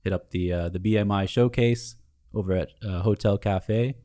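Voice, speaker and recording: A man co-hosting a studio podcast, talking in a relaxed, hesitant way with many fillers. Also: on the low side, moderate pace. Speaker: podcast co-host. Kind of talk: casual chat.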